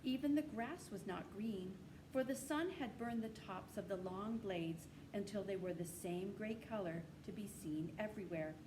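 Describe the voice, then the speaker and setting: A woman reads a children's novel aloud as a storyteller. Her voice sits at a medium pitch and her delivery is measured and descriptive. The amateur recording carries clearly audible noise. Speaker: storybook reader.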